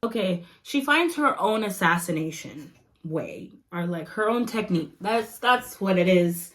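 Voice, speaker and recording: A young woman chatting casually to her viewers in a rambling review, laughing often and filling pauses with "like" and "um". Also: medium pitch, animated, a bit bright. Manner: chatty, giggly, rambling with many fillers. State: losing her voice. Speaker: vlogger reviewing comics.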